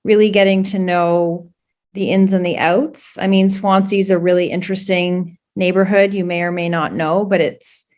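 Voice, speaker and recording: A woman's voice: lowish voice, steady tone, normal speed, darkish tone. A woman in her forties, a political candidate, answering interview questions in an earnest, conversational way with many fillers.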